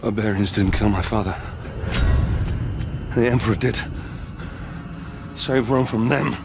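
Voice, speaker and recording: An actor playing a grave, terse Roman soldier in a dramatic video game cutscene. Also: male voice, fairly low pitch, measured pace, music in the background. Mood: grim, urgent. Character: grim Roman soldier seeking justice for his father.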